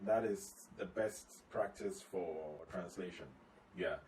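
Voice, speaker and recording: A man, a company head, talking through tips on slides in a relaxed video lesson, repeating key points as he explains. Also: low-pitched, slow pace. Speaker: company CEO giving tips. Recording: slightly distant mic, faint ambient noise.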